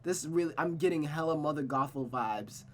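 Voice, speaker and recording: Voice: mid-range voice, a little fast, darkish tone, a little hiss or hum. A host reading a visual novel aloud with casual commentary.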